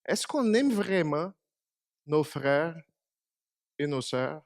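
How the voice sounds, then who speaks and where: Slow, higher-voiced preacher, native Portuguese speaker. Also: male voice, animated, dry recording, studio quality. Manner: conversational, asks the congregation questions. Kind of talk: short church meditation. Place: small church.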